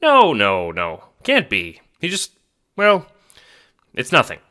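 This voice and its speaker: A man's voice: medium pitch, huge pitch swings, takes it slowly, bright, forceful tone, pitched above the performer's own voice, heavily acted. A man voicing a genteel, evasive old grandmother spirit in an audio drama. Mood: evasive, flustered.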